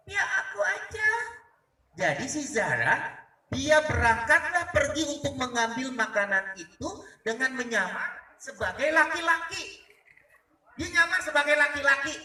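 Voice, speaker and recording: A man's voice: very high-pitched, pushed and bright. A man telling a story aloud to listeners in a conversational, dramatic manner, asking rhetorical questions. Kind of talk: animated oral storytelling to live listeners. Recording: echoey room, distant mic.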